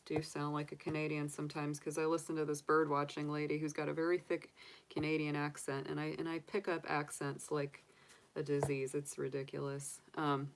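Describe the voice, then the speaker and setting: A woman's voice: low-pitched, monotone, moderate pace, a little hiss or hum, rough recording. A woman from the United States, casually chatting on a livestream as a tarot reader, rambling with many fillers and restarts. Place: home room with candles and sage.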